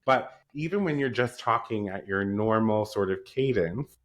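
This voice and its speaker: A man's voice: on the low side, lively intonation, normal speed. A man hosting an interview podcast, chatting casually and effusively, with lots of "um" and "like" as he gushes about his guest. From East Coast of North America. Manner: enthusiastic, effusive, frequent "um" and "like". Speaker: podcast host.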